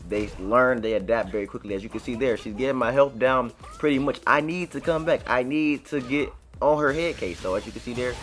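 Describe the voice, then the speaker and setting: A man's voice: mid-range voice, fairly bright tone. A man giving casual, conversational gameplay commentary full of informal slang. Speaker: gaming video creator. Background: game music.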